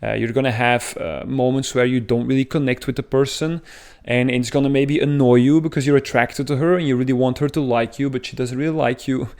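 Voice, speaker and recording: A man's voice: medium pitch, steady tone, a little fast, darkish tone, smooth. A man from Belgium with a thick Belgian accent, talking earnestly to camera as a dating coach, explaining at length with frequent filler sounds. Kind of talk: talking-head advice video.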